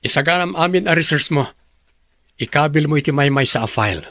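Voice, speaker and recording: A firm company department head in a radio drama, his voice a little high for a man. He has a Filipino accent and gives orders in a businesslike way, recorded close and dry. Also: animated, a little fast, bright, forceful tone, dry recording. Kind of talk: Ilocano radio drama acting.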